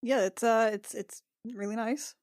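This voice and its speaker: A woman's voice: on the high side, fairly even intonation, a little slow, darkish tone. A woman reacting casually as a player during a tabletop role-playing game.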